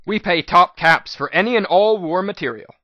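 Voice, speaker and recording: A man voicing a shrewd, cheerful female pony shop manager in a dramatic audiobook reading, bright and businesslike. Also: sits high, normal speed, dry recording, dull audio, pitched above the performer's own voice. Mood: brisk, pleased.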